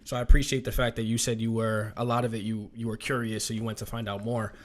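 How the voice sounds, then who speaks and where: A man co-hosting a podcast who speaks in a measured, encouraging way as he introduces the guest. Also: on the low side, little pitch movement. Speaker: podcast co-host. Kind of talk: casual podcast interview.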